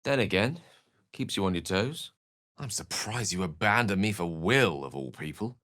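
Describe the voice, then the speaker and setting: A man's voice: sits low, expressive, moderate pace, fairly bright tone, no room sound, studio quality. An actor playing an erudite, witty and self-assured wizard in a video game, eloquent and theatrical in his wordy delivery. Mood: wry, teasing. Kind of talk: video game character acting.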